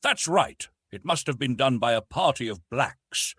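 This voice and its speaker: A man voicing an earnest young English gentleman in an audiobook, proper and emphatic in manner. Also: mid-range voice, lively intonation, measured pace, husky, no echo, clean studio sound, voice pushed up, full character voice. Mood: reasoning, excited. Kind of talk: dramatised audiobook reading.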